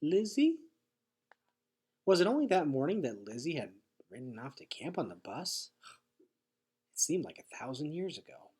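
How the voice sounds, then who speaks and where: A man reads a storybook aloud in an expressive, teacherly way. His voice is husky and sits at a middle pitch, swinging up and down as he stresses phrases. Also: moderate pace. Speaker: teacher reading a chapter aloud. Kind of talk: read-aloud storybook narration.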